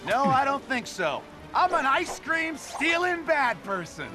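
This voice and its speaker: A playful superhero from a video game. He is bold and teasing, with very animated pitch. Clear music runs behind him. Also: unhurried, heavily acted. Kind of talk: video game cutscene acting.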